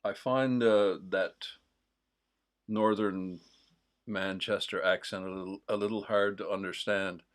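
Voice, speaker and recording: Man, mild Newfoundland accent, deep-ish voice, unhurried, rambling, lots of pauses. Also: steady tone. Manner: digressive, with many pauses and fillers. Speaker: host of a livestream. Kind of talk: casual rambling chat.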